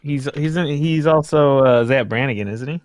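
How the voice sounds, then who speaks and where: A young adult man chatting casually as a livestream panelist in a tentative, halting way. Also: mid-range voice, a little mellow, dry recording. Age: young adult to 30s. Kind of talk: casual chat.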